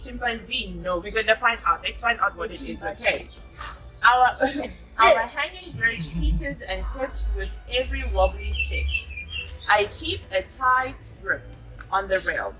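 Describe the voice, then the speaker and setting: A woman's voice: a little high, expressive, a little slow, pushed and bright, faint music behind. A woman reading a picture book aloud to children, patient and encouraging, adding chatty asides and echoing what they say. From South Africa. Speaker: storybook reader.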